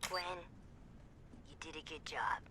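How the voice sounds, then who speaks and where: A young cartoon boy hero, cocky yet kind. The voice sits fairly low for a woman and plays as a kid from an animated series. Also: unhurried. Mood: sincere.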